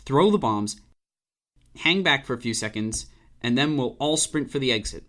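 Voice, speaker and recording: A man's voice: mid-range voice, lively intonation, normal speed, a bit bright, natural voice. A man playing a nervy young scientific genius and double agent in an audio drama, evasive and slangy, with a stammering delivery. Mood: reluctant. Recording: dramatic music behind the voice.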